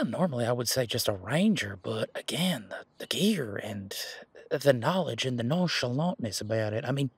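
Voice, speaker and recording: A man playing an easygoing, protective werewolf bodyguard in a roleplay audio drama, chatting in casual, halting, folksy phrases. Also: medium pitch, creaky, husky, no room sound, full character voice. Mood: musing, intrigued. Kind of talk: roleplay audio drama, conversational monologue to listener.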